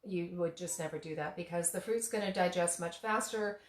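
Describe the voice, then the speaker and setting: A woman's voice: fairly low pitch, moderate pace. Rambling woman in an echoey kitchen. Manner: rambling, many restarts and fillers; laughs at herself. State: slightly hoarse, losing voice. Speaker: tea shop owner and cooking vlogger. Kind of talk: casual kitchen demo chat. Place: home kitchen.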